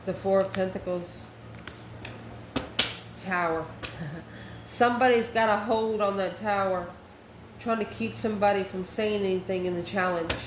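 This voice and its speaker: A woman of medium pitch gives an off-the-cuff tarot reading to her viewers. She talks conversationally, in short phrases, as if working out each card aloud. The room echoes around her voice, with faint noise underneath. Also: fairly even intonation, moderate pace. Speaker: tarot reader, channel host. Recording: roomy echo, faint background noise.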